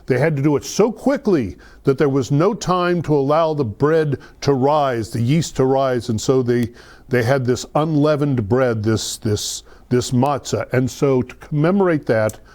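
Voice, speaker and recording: Male guest, soft dark tone, informal explainer who gropes a little for words. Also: mid-range voice, measured pace. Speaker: guest explaining holiday traditions. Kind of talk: casual explanatory chat.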